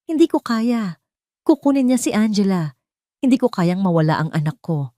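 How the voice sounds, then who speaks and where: A woman's voice: medium pitch, sing-song, normal speed, mellow, no room sound, clean studio sound, voice pushed up, lightly acted. A woman with a Filipino accent voicing a despairing, frightened mother in an audio romance drama, pleading and giving up. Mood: desperate pleading. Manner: pleading, surrendering.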